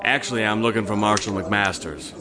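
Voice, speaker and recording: A man's voice: lowish voice, fairly quick, over loud music, close to an everyday voice. A man playing a laconic, cool drifter in an Old West radio drama, with a dry, polite manner. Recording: very noisy outdoor scene.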